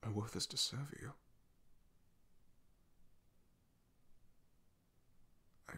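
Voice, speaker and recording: A man playing a young, humble, devoted knight in an ASMR roleplay, soft-spoken and halting, with a breathy, gravelly half-whisper. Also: fairly low pitch, exaggerated voice. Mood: earnest, humble.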